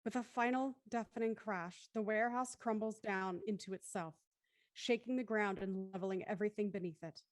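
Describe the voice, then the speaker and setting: A woman's voice: mid-range voice, brisk, no echo, natural voice. A woman acting as the intent narrator of an audio thriller, reading dramatic scripted narration. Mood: intent storytelling.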